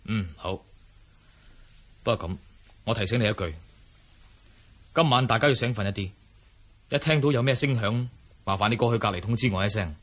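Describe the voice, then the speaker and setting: A man's voice: fairly low pitch, moderate pace, pauses often, fairly bright tone. A man with a Hong Kong Cantonese accent playing a bold, friendly swordsman in a martial-arts radio drama. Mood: friendly, teasing. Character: bold, unrestrained young swordsman, a friendly elder brother.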